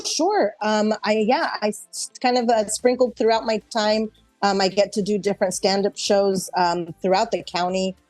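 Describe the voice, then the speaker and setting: An American woman gives casual, thoughtful answers as an interview guest. Her voice sits at a middle pitch and is recorded dry, with faint music playing behind her. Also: darkish tone, dry recording. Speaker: interview guest, actor and improv performer.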